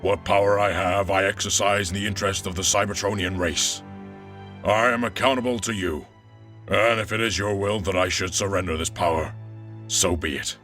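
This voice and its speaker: An actor playing a noble, weary robot leader with a measured, grave and formal delivery. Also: male voice, sits low, steady tone, fairly bright tone, recorded from a distance, soft background music. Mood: solemn, stirring. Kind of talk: scripted narration and solemn oratory.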